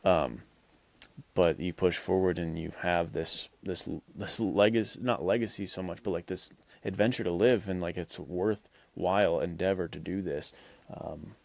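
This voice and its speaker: Male podcast host, low-pitched, close dry sound, loose encouraging chat. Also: fairly even intonation, moderate pace, gravelly, a little mellow, no room sound. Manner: rambling, encouraging, heavy use of "like".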